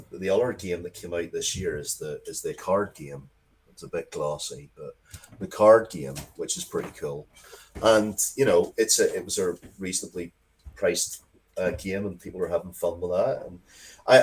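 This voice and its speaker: A middle-aged man talking casually as an interview guest, rambling and swearing freely as he tells stories with enthusiasm. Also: low-pitched. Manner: sweary, rambling, animated storytelling. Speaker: interview guest, game and comics creator.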